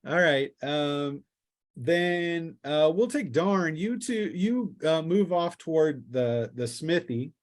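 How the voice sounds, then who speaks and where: A man narrating a tabletop fantasy game as game master, improvising in a halting, hesitant way. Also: a little high, expressive.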